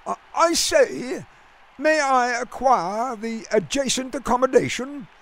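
A man's voice: very high voice, lively intonation, slow, fairly bright tone, voice pushed up, full character voice. A man voicing a naive, delighted young upper-crust Englishman, speaking with a lofty, clipped upper-class British accent and very polite, wordy phrasing. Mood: polite, tentative.

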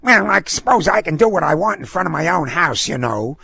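A man acts out a stubborn housewife from a storybook. The voice jumps high and swings about with indignation. Every line comes out feisty and ready for an argument. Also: lively intonation, fairly quick, creaky, bright, forceful tone, breathy edge, dry recording, clean studio sound, heavily acted. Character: feisty, stubborn working-class housewife, Missus Harrigan.

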